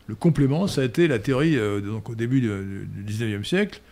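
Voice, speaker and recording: Older man, gravelly voice, lecturing, hesitant with many pauses, studio mic. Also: mid-range voice, animated, darkish tone, slight background noise. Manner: professorial, hesitant with frequent "euh" and restarts. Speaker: host answering listener questions. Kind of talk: lecturing Q&A answers. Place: study room indoors. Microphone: studio mic on stand.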